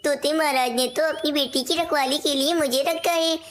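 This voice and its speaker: Woman as female songbird, high-pitched, naive, dutiful, earnest, cartoon story. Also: steady tone, moderate pace, close to an everyday voice. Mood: concerned. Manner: earnest, polite. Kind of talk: cartoon moral-story dialogue.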